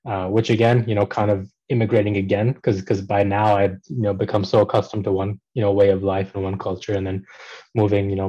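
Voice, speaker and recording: Mellow-voiced man talking easily with restarts. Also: lowish voice, breathy edge, lo-fi sound. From Pakistan. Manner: relaxed, many ums and restarts. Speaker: podcast guest. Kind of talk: casual conversational interview.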